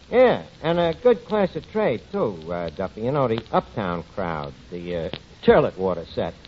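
An actor playing a cocky, scheming tavern manager with self-important patter in a 1940s radio sitcom. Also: male voice, on the low side, sing-song, unhurried. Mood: cocky chatty. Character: tavern manager, scheming, boastful, dim wisecracking man. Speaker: comedy lead. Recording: muffled, noisy 1940s radio broadcast, studio audience.

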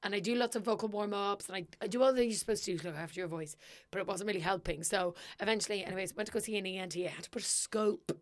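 A young woman co-hosting a podcast, chatting casually and telling stories, swearing freely, her voice sometimes a little gravelly. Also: medium pitch, racing. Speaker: podcast co-host. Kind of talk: casual chat.

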